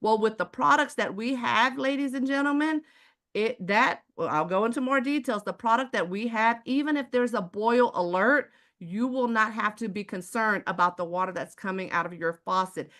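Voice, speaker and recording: Texan woman giving a preachy sales pitch. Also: moderate pace. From Houston, Texas. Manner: preachy, rhetorical questions, repeats "ladies and gentlemen". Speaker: presenter selling water filters. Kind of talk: live online sales presentation.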